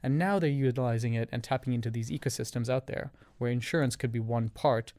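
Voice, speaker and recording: Measured man with a smooth voice. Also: mid-range voice, darkish tone, dry recording. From Canada. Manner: measured, explains in ordered points. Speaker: guest, revenue operations leader. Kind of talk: interview answers.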